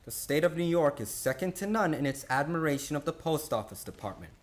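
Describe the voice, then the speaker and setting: A man plays an exasperated prosecutor in a radio play. He orates formally and pompously, his fairly high voice swinging widely. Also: animated, brisk, lightly acted. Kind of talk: radio play courtroom acting.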